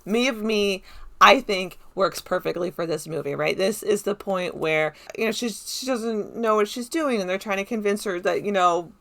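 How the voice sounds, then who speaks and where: A woman's voice: mid-range voice, moderate pace, vocal fry, fairly bright tone. A woman giving casual, unscripted film commentary in a rambling, enthusiastic, conversational way. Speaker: video essayist reviewing a film.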